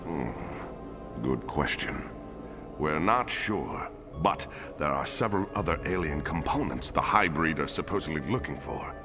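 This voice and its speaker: A big polite alien for a game, super low voice, formal n careful, long reverb. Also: male voice, animated, full character voice. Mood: measured, businesslike. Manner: formal, deliberate phrasing with hesitant pauses. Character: large four-armed alien plumber, courteous, measured, grave. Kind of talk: scripted video game cutscene acting. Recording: long hall reverb, loud music behind.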